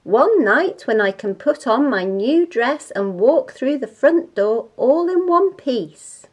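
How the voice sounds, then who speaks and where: A woman's voice: high voice, lively intonation, slow, darkish tone, husky, pitched above the performer's own voice, heavily acted. A woman voicing a harried, exasperated mother elephant in a bossy, emphatic way, for a children's storybook.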